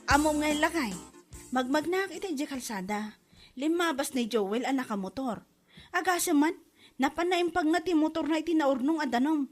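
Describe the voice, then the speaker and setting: High-voiced Ilocano wife, acted by a woman, over music. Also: lively intonation, moderate pace. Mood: aggrieved. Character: aggrieved, proud wife griping to her husband. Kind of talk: Ilocano comedy drama acting.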